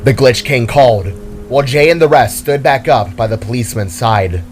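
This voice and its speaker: A man's voice: mid-range voice, normal speed. A man reading scripted fantasy action as a dramatic story narrator.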